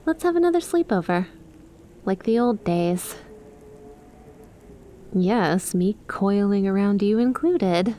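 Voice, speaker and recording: A woman's voice: mid-range voice, dark tone, slight background noise, lightly acted. A woman playing an affectionate young snake-girl best friend in an ASMR roleplay, teasing and chummy as she talks to the listener. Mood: upbeat, friendly.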